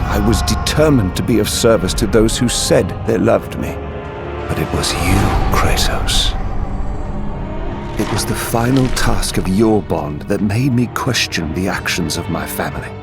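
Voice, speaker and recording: A man playing a sorrowful half-god oathkeeper in a video game, speaking in a solemn, earnest and measured way. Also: sits low, vocal fry. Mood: sorrowful, grave. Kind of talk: dramatic video game acting. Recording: loud music under the voice.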